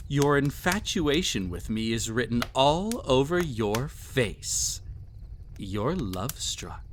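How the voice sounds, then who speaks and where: A man's voice: medium pitch, very animated, takes it slowly, a little hiss or hum. A man playing a cruel, possessive, vain emperor toying with a captive in an intimate audio roleplay, with haughty, teasing, theatrical phrasing. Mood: menacing, teasing.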